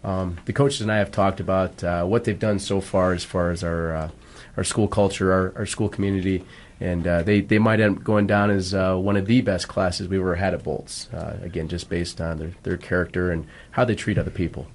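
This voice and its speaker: A man speaking as a school football coach in a radio interview, earnest and halting as he explains. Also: low-pitched, steady tone.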